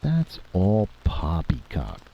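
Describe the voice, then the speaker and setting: Man playing an exasperated, animated army general. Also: low-pitched, slow, gravelly, voice pushed up, exaggerated voice. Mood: impatient. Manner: emphatic, rhetorical, impatient. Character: exasperated, forceful male military general arguing his case. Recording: dull lo-fi audio with steady hiss.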